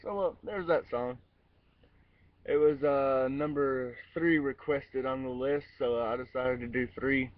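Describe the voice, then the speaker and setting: A man's voice: mid-range voice, steady tone, measured pace, recorded from a distance, narrow, tinny audio. A young man in his twenties chatting casually outdoors in a wooded yard, recorded on a webcam while wearing headphones. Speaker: amateur singer. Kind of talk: casual vlog with sung cover. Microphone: webcam at close range, wearing headphones.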